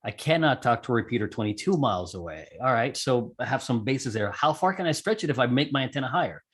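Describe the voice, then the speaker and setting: A man's voice: mid-range voice, expressive, quick pace, slightly rough. Casual storytelling man from Puerto Rico. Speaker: interview guest, amateur radio operator and skydiver.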